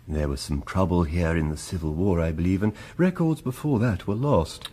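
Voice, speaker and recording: An actor playing a genial, wordy Victorian country rector with an English accent in a period radio drama. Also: male voice, sits low, animated, normal speed, dark tone, slight background noise. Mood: eager, chatty. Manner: effusive, wordy, genteel.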